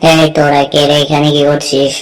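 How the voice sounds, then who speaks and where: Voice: mid-range voice, monotone, fairly quick, lightly acted, low-quality recording. A performer voicing a bullying, bargaining jungle gang boss in amateur cartoon dialogue. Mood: threatening.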